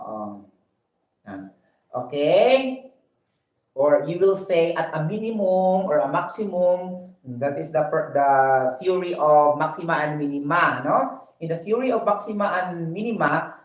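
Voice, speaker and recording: A woman's voice: on the low side, echoey room, poor audio. A woman with a Filipino accent teaching a math lesson on a livestream, walking through each step and adding "okay?" and "no?" to check on her viewers. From Philippines. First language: Cebuano. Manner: explains step by step, adds tag questions like "no?" and "okay?".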